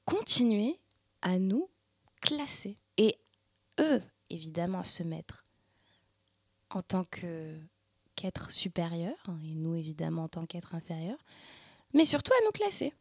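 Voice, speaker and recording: A young adult woman from the Paris region talking through a personal reflection in a thoughtful, searching way, with many pauses and fillers. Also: fairly low pitch, very animated, slightly rough, no echo. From Paris region, France. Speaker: speaker sharing personal testimony.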